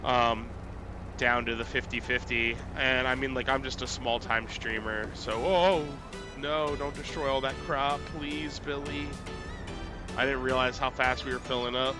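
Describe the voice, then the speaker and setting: A congested man talks his way through a farming game on a live stream, wandering and full of fillers. His voice sits at an ordinary middle pitch. Game music runs clearly underneath. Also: fairly even intonation, unhurried. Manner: rambling, thinking aloud with many fillers. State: congested, recovering from illness. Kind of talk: casual gameplay live stream chat.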